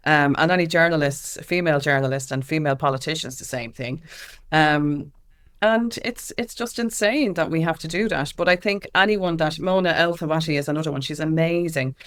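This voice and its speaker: A woman's voice: sits low, brisk, clean tone. An Irish woman speaking as an activist guest in a candid interview, with an emphatic, impassioned way of talking.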